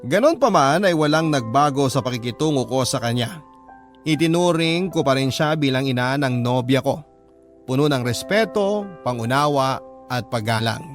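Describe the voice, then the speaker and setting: An actor playing a heartfelt young man who narrates his own love story in a measured, sentimental radio drama style. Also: medium pitch, animated, soft background music, natural voice. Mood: earnest, wistful.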